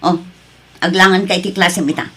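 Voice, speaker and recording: A woman's voice: lowish voice, steady tone, fairly quick, fairly bright tone, slightly rough. Worried, strict Filipino mother played by an actress. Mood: stern, accusing. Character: suspicious, worried, strict middle-aged village mother. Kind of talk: Filipino radio-style family drama acting.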